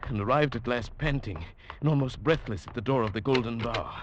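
An actor playing an uneasy traveller who narrates an eerie tale in a dramatic 1940s radio drama style. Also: male voice, mid-range voice, fairly quick, dull audio, noisy background, close to an everyday voice. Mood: tense, fearful. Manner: dramatic, hushed swelling to agitated. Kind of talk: 1940s radio drama acting, first-person scripted narration. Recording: old radio broadcast with music.

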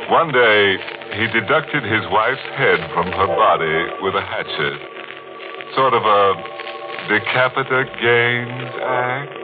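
An actor playing a sardonic, ghoulishly jovial host of a 1940s radio mystery, narrating in a theatrical, mock-sinister way. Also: male voice, on the low side. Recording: old muffled radio recording, organ music.

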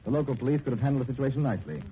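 An actor playing an urbane, wry, unflappable gentleman detective, polite and suave, in a 1940s radio drama. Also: mid-range voice, expressive, racing, natural voice. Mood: cool, wry. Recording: tinny, noisy 1940s radio recording.

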